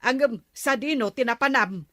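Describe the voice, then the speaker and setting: A woman's voice: on the high side, moderate pace, fairly bright tone, dry recording, clean studio sound, lightly acted. A woman playing a nagging, disapproving villager in an Ilocano comedy drama. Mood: scolding.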